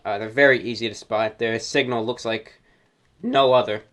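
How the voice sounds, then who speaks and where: A man's voice: lowish voice, fairly even intonation, normal speed, fairly bright tone. A man hosting a radio hobby show, explaining in an informal presentation with slightly formal, stilted phrasing. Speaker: host of a shortwave radio show.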